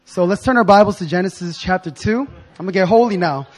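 A young man with a hoarse voice giving an informal sermon in a casual, slangy, excited manner. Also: high voice, fast talker, faint music behind. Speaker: preacher.

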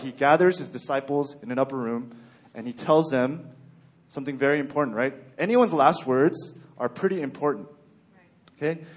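A young man from Texas giving a sermon in a calm, even-keeled way with frequent ums and okays. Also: a little high. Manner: even-keeled, plain-spoken, frequent "um" and "okay". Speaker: church speaker.

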